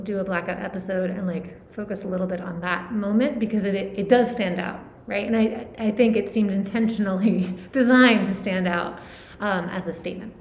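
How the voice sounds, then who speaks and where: A woman co-hosting a podcast, asking chatty, filler-heavy interview questions. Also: mid-range voice. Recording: roomy echo.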